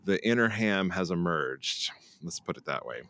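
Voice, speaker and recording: Jovial radio guest, man, deep voice, close dry studio mic. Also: lively intonation, normal speed, a bit bright, clean tone, no echo. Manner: jovial, playful. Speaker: radio station director and guest. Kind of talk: casual radio interview chat.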